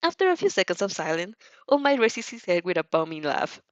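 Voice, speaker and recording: A woman reading fan fiction aloud as an expressive narrator who also does character voices. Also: lowish voice, a little fast, no room sound, muffled recording. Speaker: fan fiction audio reader.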